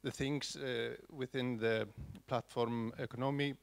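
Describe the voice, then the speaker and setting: Halting man with a gravelly voice. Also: medium pitch, fairly even intonation. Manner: halting, many restarts and fillers. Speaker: conference audience member. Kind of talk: spontaneous comment from the floor.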